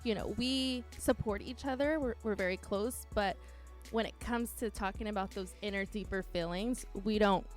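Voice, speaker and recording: A woman's voice: mid-range voice, moderate pace, hardly any pauses, soft background music. A woman interviewer speaking casually and encouragingly in a personal one-on-one conversation.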